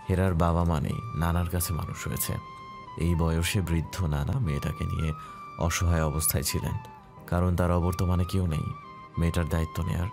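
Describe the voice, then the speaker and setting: A man acting a calm, dutiful elder brother and head of the family in an audio story, speaking in a measured, authoritative way. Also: deep voice. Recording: music clearly playing behind.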